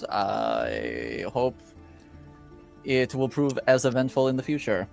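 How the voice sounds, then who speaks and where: Man improvising haltingly as game master. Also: mid-range voice, huge pitch swings, creaky, faint music behind. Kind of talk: improvised tabletop narration and casual banter.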